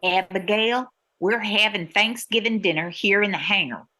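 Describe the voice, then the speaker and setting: A woman playing a steady but worried female pilot in an amateur radio drama. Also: medium pitch, moderate pace. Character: steady, worried woman bush pilot running small airline.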